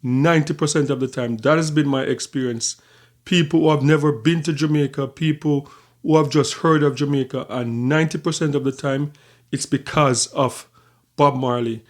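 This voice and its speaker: A man hosting a reaction video, explaining in a measured, conversational way. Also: a little high, steady tone. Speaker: reaction channel host.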